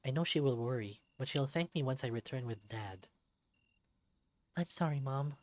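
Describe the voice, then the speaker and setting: A man's voice: medium pitch, expressive, fairly quick, dry recording. Man narrating a story, very dry sound. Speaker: narrator of a visual novel let's read. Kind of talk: expressive scripted story narration.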